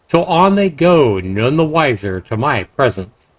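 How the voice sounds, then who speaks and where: A man's voice: medium pitch, very animated, measured pace, dry recording. A man hosting a game livestream, reading narration aloud and dropping in casual comments. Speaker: livestream host.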